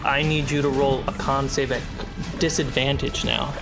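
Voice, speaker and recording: A man narrating a tabletop fantasy game as game master, in deliberate, suspenseful short phrases. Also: mid-range voice, fairly even intonation, gravelly. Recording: music behind the voice.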